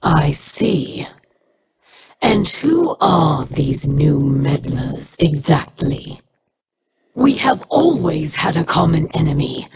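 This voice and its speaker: Cold commanding alien rebel, low and slow, hiss behind. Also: female voice, very animated, a little mellow, exaggerated voice. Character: proud defiant female alien rebel leader.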